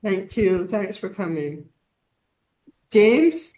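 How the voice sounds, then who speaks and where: A woman's voice: medium pitch, animated, drawn-out words, mellow. A woman hosting an online meeting, calling on each participant in turn and stumbling over a few names. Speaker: host. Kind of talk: online meeting roll call. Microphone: video call.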